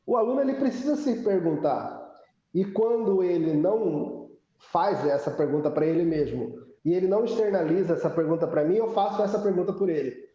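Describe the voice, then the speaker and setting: A Brazilian man, a history teacher, explaining his methods in a casual, halting way and posing questions to himself. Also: high-pitched, dark tone. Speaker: public school history teacher. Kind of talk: casual live online discussion. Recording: very echoey room, dull online audio.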